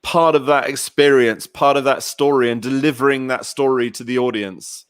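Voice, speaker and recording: A man's voice: mid-range voice, fairly even intonation, normal speed, clean tone, no room sound. A man with a mid-range voice hosts a casual interview. He rambles with effusive, fan-like enthusiasm, and the sound is dry with little room around it. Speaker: show host interviewer.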